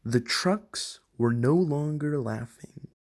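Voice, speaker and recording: A reader voicing a friendly moose who gently reads storybooks aloud to young children in a deliberate way. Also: mid-range voice, close to an everyday voice. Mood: friendly, gentle. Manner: slow, deliberate, addressing children directly. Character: Alex the Moose, friendly storybook-reading moose of the enchanted woods.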